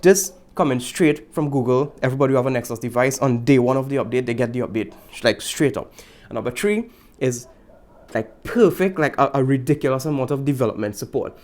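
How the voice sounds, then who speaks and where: A man's voice: medium pitch, animated, fairly quick, a little mellow. A young man from Trinidad and Tobago with a Trinidadian accent, casually reviewing a tablet in a chatty, joking way. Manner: laid-back, chatty, repeats slang, jokes aside. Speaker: tech vlogger.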